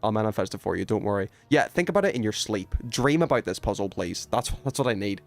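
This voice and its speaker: A man's voice: fairly low pitch, lively intonation, fast, vocal fry. A man chatting casually on a game livestream, thinking aloud, questioning himself and trailing off in informal, slangy speech. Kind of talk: casual livestream gameplay chat.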